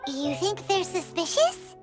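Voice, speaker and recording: A woman voicing a small, childlike fairy companion in a game, curious, naive and excitable, with a squeaky voice. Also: a little slow, heavily acted. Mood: curious, puzzled. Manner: inquisitive, excitable. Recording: loud music under the voice.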